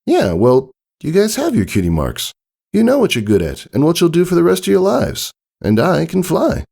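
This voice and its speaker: A man's voice: on the high side, huge pitch swings, normal speed, vocal fry, darkish tone, slightly rough, voice pushed up, exaggerated voice. A man voicing a young tomboyish female pegasus pony with a casual, hesitant, guarded way of talking in a storybook reading. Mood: defensive, earnest. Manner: hesitant, casual, shrugging delivery.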